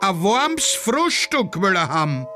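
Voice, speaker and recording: A man's voice: high voice, sing-song, slow, music in the background, heavily acted. A man voicing a callous working-class parent with a Viennese accent, grumbling offhandedly in a satirical radio play.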